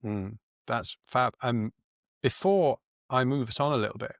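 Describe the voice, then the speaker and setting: A man's voice: medium pitch, unhurried, no room sound, clean studio sound. A man hosting an interview podcast, giving brief, encouraging prompts. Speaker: podcast host.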